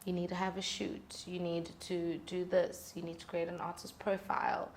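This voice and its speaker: A woman's voice: fairly low pitch, monotone, normal speed, slight background noise. A woman, speaking as a musician guest in candid, conversational interview storytelling. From South Africa.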